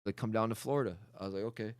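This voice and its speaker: A man, rambling and animated as he tells stories in a casual podcast chat. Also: on the low side, racing, clear, resonant tone, no room sound, studio quality. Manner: rambling, animated, restarts a lot. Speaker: podcast guest, cannabis advocate.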